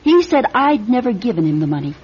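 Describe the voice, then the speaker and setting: Tough young hostess, muffled radio sound. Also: female voice, a little high, very animated, quick pace, breathy edge. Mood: bitter, hurt. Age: young adult. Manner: blunt, slangy. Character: tough, streetwise young nightclub hostess, guarded but wounded. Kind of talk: old-time radio detective drama acting. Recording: dull radio recording, music behind.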